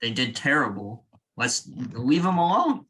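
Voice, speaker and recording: A young man co-hosting a casual sports podcast, talking in an animated, joking way with quick opinions. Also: medium pitch, poor audio. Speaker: podcast co-host.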